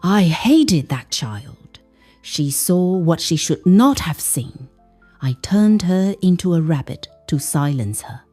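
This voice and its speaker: Woman acting a wicked, spiteful stepmother, animated. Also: on the low side, slow, no room sound, soft background music, exaggerated voice. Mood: anguished confession.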